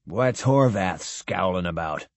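A man's voice: low voice, animated, takes it slowly, dry recording, voice pushed down, exaggerated voice. A man voicing a blunt naval officer who whispers curt remarks. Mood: gruff.

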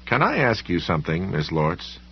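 A man reading the part of a stubborn, uneasy ordinary man in an audiobook story, speaking slowly, earnestly and insistently. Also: low voice, huge pitch swings, lo-fi sound, slight background noise, heavily acted. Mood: hesitant.

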